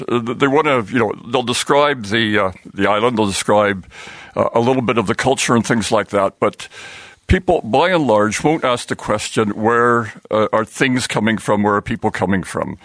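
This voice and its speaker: American professor on the radio, lowish voice, explaining and thinking aloud, no room echo. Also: male voice, fairly bright tone. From United States. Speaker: guest, archaeology professor. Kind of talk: radio interview.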